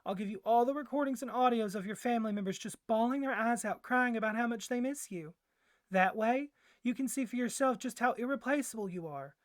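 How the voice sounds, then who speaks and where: A woman's voice: fairly high pitch, brisk, no room sound, close to an everyday voice. Woman playing a clingy, doting yandere boyfriend, close and dry. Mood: insistent, adoring. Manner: gushing, coaxing, rambling with flustered hesitations. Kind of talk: soft ASMR boyfriend roleplay.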